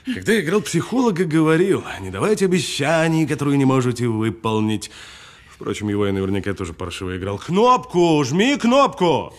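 An actor playing a cool, sardonic detainee who needles a policeman with deliberate, mocking delivery in an audio drama. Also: medium pitch, very animated, close to an everyday voice. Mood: goading, intense. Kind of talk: naturalistic audio film drama acting.

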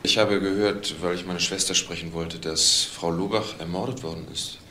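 Very low male voice: guarded, evasive brother in a TV crime drama, halting, in an echoey room. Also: fairly even intonation, pushed and bright. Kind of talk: naturalistic German TV crime drama acting. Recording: echoey room, faint noise.

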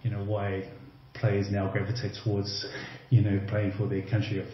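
Lowish voiced guy rambling easy on a podcast, lots of you knows, roomy kinda dull sound. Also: completely flat delivery, moderate pace. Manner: loose and conversational, many fillers like "you know" and "like". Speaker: podcast guest, former rugby league player. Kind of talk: casual podcast interview. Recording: roomy, slightly dull remote audio.

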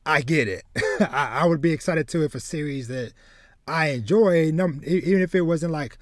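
Halting man chatting on a dry close mic. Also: fairly high pitch, no room sound. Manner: halting, repeats words as he goes. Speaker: podcast host. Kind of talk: casual chat about anime. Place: small room with bookshelves, indoors, on a video call.

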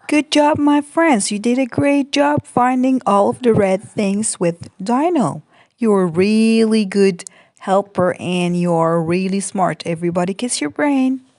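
Slow-talking woman teaching small children. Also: mid-range voice, darkish tone, no echo. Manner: simple, repetitive, encouraging. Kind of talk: puppet-show lesson for small children. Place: indoor room by a curtained window.

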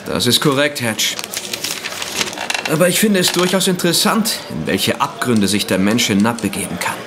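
An actor playing a thoughtful, collegial newspaperman in a German audio drama, with a formal, deliberate manner. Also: mid-range voice, animated, background noise, natural voice. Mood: pensive.

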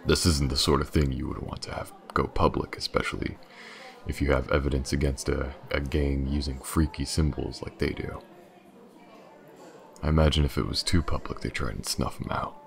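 A gruff detective in an audio roleplay, acted by a man, with an extremely low voice full of fry. He is wary and dryly sardonic, muttering his way through halting thoughts. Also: level tone. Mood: wary, earnest. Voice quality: gravelly.

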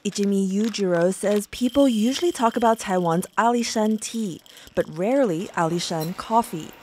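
Mellow-voiced woman reading a news report. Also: mid-range voice, normal speed, a little hiss or hum. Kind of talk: scripted news narration. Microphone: studio voice-over mic.

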